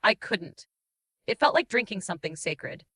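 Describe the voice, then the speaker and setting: A woman's voice: medium pitch, sing-song, pushed and bright, slightly rough, full character voice. A woman voicing a guilt-ridden, tender shapeshifting changeling who confesses in mournful, dramatic first-person audiobook narration. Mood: tender, bittersweet. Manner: emotive, mournful storytelling with dramatic pauses.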